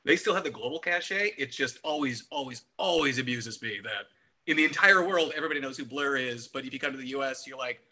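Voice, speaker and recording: Very bright, sharp-voiced man. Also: medium pitch, animated, fast talker. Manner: emphatic, repeats words, acts out imagined quotes. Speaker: music podcast co-host. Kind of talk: casual album discussion.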